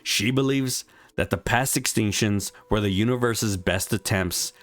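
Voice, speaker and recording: A man reading a scripted story recap in a measured, explanatory storytelling manner. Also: lowish voice, fairly bright tone, no echo. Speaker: narrator of a video game story recap. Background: background music.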